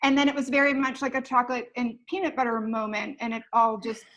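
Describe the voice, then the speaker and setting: Woman, middle-aged, high-pitched. Animated, casual storyteller on a home video call. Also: quick pace, muffled recording. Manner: animated retelling with quoted dialogue. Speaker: television writer. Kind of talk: casual anecdote. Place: room at home.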